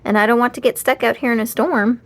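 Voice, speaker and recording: A woman voicing a brisk, practical mother in a children's storybook. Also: a little high, fairly even intonation. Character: brisk, practical mom calling to the kids. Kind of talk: children's storybook character dialogue.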